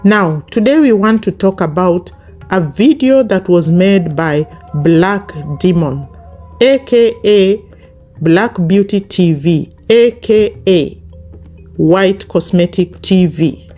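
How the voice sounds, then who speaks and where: A woman's voice: mid-range voice, animated, takes it slowly, dark tone, soft background music. A woman commentating on a YouTube channel, talking straight to her viewers, stressing and repeating her points; English is not her first language. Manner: emphatic, repetitive, speaks straight to viewers. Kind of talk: reaction commentary monologue.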